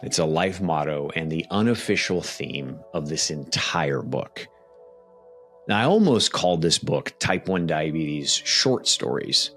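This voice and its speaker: Animated man with slow, swooping delivery. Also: rumbling low, soft background music. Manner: animated, conversational, emphatic, with dramatic pauses. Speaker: podcast host and author. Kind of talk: casual podcast talk, then storytelling book reading.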